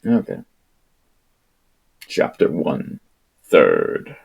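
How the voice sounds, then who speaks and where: A man livestreaming a book reading in a casual, rambling, irreverent way, with a husky voice and an exasperated, sweary manner. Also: rumbling low, wildly swooping pitch, drawn-out words, a little mellow. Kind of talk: casual rambling livestream with read-aloud passages.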